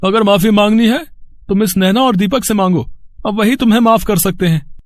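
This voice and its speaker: A man's voice: sits high, moderate pace, no room sound, close to an everyday voice. A man playing a distressed, earnest father in a cartoon moral story, speaking emotionally. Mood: hurt, reproachful. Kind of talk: animated moral story dialogue.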